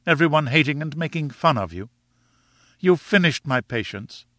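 Scolding wife for a storybook, played by a male reader: small, indignant, high-pitched and swooping, with a forceful edge. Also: lively intonation, normal speed, no echo, clean studio sound. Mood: furious, scolding. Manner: indignant, exclaiming. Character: small furious scolding wife, shrill and wailing. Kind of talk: audiobook character acting.

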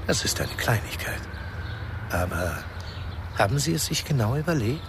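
A man's voice: medium pitch, big pitch swings, normal speed, background noise. An actor playing a sly, persistent man fishing for information in a radio crime drama, taunting and probing. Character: sly, needling, persistent male information hunter. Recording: busy chatter behind the voice. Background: crowd chatter.

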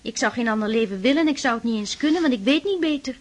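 An actress playing a shy, devout aristocratic sister in a Dutch radio drama, speaking earnestly and tenderly, with pleading admonishment. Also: female voice, on the high side, expressive, fast talker. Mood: shy, confiding.

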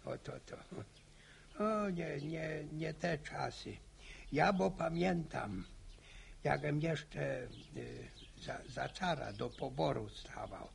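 An actor playing a talkative, teasing old peasant with a creaky voice, halting and repeating his words in a radio drama. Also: a little high, big pitch swings, slight background noise, heavily acted. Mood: reminiscing. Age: older. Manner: halting, stammering repetitions, slow. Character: talkative old village peasant man, folksy, teasing, bossy.

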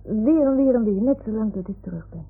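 A woman's voice: fairly high pitch, lively intonation, fairly quick, slightly rough. A woman playing a young boy who talks to his toys in a radio play, earnest and childlike as he explains things. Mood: earnest, gentle. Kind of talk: 1960s-70s Dutch radio drama acting. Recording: muffled, phone-like old radio recording.

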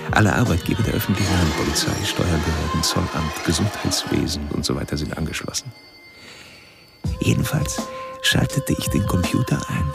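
An actor playing a cold-blooded, philosophizing serial killer who narrates a radio crime drama in a deliberate, confiding, coolly pompous way. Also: male voice, low voice, gravelly. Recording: music under the voice.

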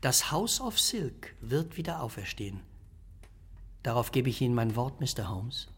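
Casting: smug, unbowed gentleman villain, mid-pitched raspy voice, formal radio-drama delivery. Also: very animated, normal speed, exaggerated voice. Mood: defiant. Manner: self-assured, formal. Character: smug, self-righteous male villain, unbowed blackmailer. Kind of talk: radio play acting.

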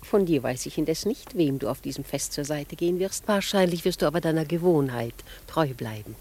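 An actress playing an elegant upper-class wife with a poised, coolly ironic manner, in 1950s German radio drama style. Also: female voice, sits low, animated, brisk, darkish tone. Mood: cool mockery.

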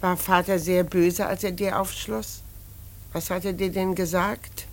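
A woman's voice: lowish voice, level tone, unhurried. Anxious, scolding middle-aged mother. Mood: reproachful. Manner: pleading, scolding, insistent. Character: anxious, reproachful mother of a grown son. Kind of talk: radio drama acting.

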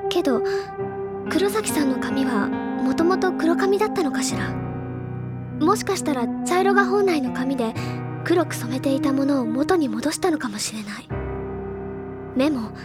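A woman playing an earnest, imaginative high-school senior girl in an audio drama, speaking deliberately in literary, feminine phrasing. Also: expressive. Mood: grave, intent. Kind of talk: Japanese audio drama acting, dramatic explanatory monologue. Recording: loud music bed behind the voice.

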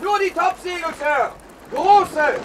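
An actor playing an alert ship's lookout in a radio play, calling out in drawn-out shouts. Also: male voice, very high voice, recorded from a distance, slight background noise, full character voice. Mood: alert shouting. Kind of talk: German radio play acting.

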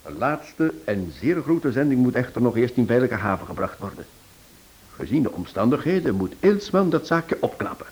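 An actor plays a theatrical male sleuth in a radio drama. He tells his case with relish and emphasis, his mid-range voice swinging widely in pitch. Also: big pitch swings, normal speed, a little mellow, close to an everyday voice. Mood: dramatic relish. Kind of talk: Dutch radio play acting.

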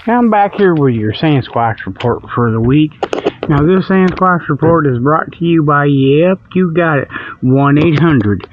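A man's voice: a little high, animated, unhurried, noisy background. A man hosting a comic radio report, rambling through mock sponsor plugs in a folksy, ungrammatical, digressive way. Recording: dull lo-fi, dry close sound.

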